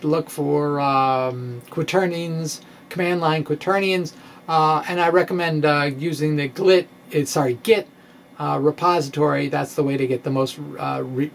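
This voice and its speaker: A middle-aged man reading his science blog dramatically, in a quirky, halting way with drawn-out pauses. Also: on the high side. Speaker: physics video blogger. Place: small indoor room with bookshelves. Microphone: microphone on a stand in front of him. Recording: steady clear background noise.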